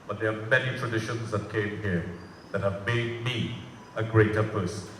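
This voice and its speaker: A man's voice: on the low side, moderate pace. A man with a Trinidadian accent, a native Bhojpuri speaker, gives an impassioned public speech, emphatic and repeating phrases for effect. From Trinidad and Tobago. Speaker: guest speaker at a community event. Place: community centre hall. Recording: echoey hall, distant mic, faint noise.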